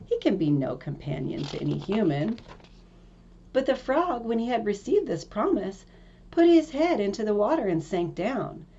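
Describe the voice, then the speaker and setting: A woman's voice: mid-range voice, warm tone, lo-fi sound. A woman narrating a fairy tale from a script as a storyteller.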